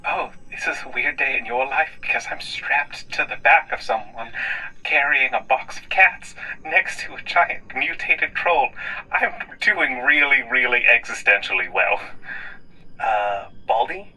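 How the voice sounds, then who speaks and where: A man's voice: medium pitch, unhurried, pushed and bright, breathy edge, distant mic, rough recording. A man playing in a tabletop role-playing game, improvising casual in-character banter.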